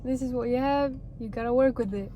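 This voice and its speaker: Icelandic woman, higher voice, short replies. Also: brisk, loud music behind the voice. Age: 20s. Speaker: vlog co-host. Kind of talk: casual sit-down Q&A chat.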